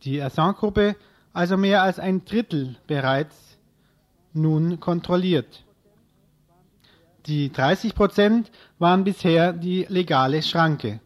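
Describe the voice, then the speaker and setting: Higher-voiced man reading a radio report. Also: slow. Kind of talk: scripted news report.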